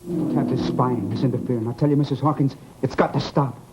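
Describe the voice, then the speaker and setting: A man's voice: medium pitch, brisk, close to an everyday voice. An actor playing a secretive, defensive male tenant with an American accent in an old-time radio horror drama, guarded and protesting in manner. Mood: indignant. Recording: dull, noisy old-time radio recording.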